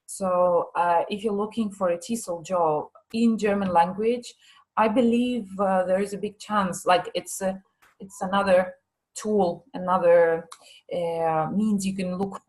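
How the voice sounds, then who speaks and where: A woman's voice: medium pitch, fairly even intonation, measured pace, a little mellow, low-quality recording. A woman presenting a teaching course in a live online question session, chatty and hesitant, with many fillers and restarts. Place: Limassol.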